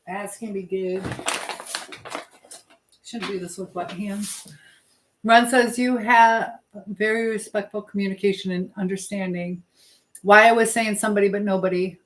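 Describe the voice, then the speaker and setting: A woman hosting a casual live stream while busy in the kitchen, chattily talking to herself and to her viewers and reading out comments. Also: mid-range voice. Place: indoors in a kitchen. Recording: echoey room with clear background noise.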